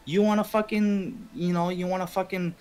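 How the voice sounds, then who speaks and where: A man's voice: sits high, flat delivery, normal speed, a little mellow. A man from Canada who streams games, telling personal stories in a casual, repetitive ramble full of swearing. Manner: profane, heavy swearing, repetitive. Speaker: game livestreamer. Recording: music playing behind the voice. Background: video game music and game dialogue.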